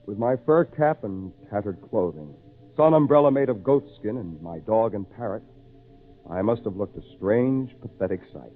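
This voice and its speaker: An actor playing a lonely, earnest castaway in an old radio drama, with theatrical, deliberate phrasing. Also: male voice, fairly low pitch, animated, soft background music. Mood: brooding, hopeless. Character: lonely castaway man, brooding, earnest, excitable. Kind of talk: old time radio drama narration and acting. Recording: tinny, noisy old radio recording.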